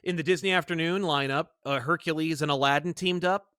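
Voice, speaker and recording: A man with a fairly high, bright voice talks casually about pop culture as a podcast co-host. He tells things in an animated way and slips into acted quotes. The sound is dry, with no room on it. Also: fairly quick, clear, resonant tone, dry recording.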